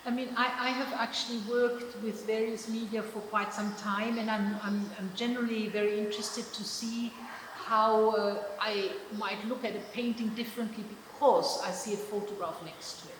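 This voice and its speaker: A woman's voice: a little high, steady tone, moderate pace. A woman with a German accent, an artist talking thoughtfully about her work, often restarting her sentences. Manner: thoughtful, hesitant, many restarts. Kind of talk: public conversation about her artwork. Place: exhibition project space. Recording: echoey room with long reverb, noise.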